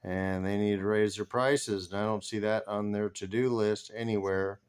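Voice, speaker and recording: A man with a fairly deep voice and a smooth, clear tone. He comments on company finances in an informal, rambling way, as if reading a screen and working out his thoughts aloud. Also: steady tone, normal speed. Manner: rambling, thinking aloud while reading figures. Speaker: stock commentator.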